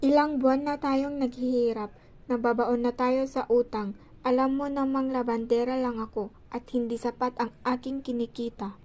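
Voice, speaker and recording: Woman doing a weary mom in a puppet show, really high voice, formal scripted lines. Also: little pitch movement, normal speed, darkish tone, lightly acted. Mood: fed up. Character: weary, fed-up wife and mother.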